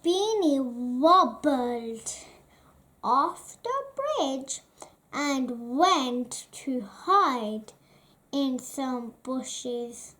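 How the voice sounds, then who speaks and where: A woman narrating a children's storybook aloud in a deliberate, expressive way. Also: high-pitched. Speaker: children's book reader.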